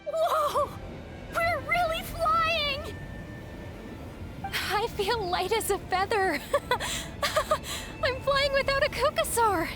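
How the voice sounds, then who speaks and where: A woman's voice: very high voice, lively intonation, very slow, music in the background, exaggerated voice. A woman voicing a timid, wide-eyed young novice flyer in a video game, tentative and full of wonder. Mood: thrilled. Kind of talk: animated video game voice acting.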